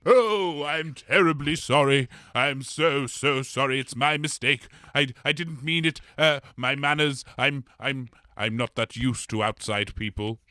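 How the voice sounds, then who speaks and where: A man's voice: medium pitch, measured pace, few pauses, pushed and bright, no echo, clean studio sound. A man playing a polite, bumbling blob creature in a comedy audio drama, hesitant, rambling and apologetic. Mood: apologetic, anxious.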